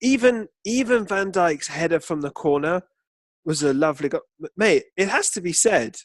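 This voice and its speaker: Male podcast co-host, blokey and sweary, higher-pitched, casual football chat, close dry sound. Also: animated, normal speed, no room sound.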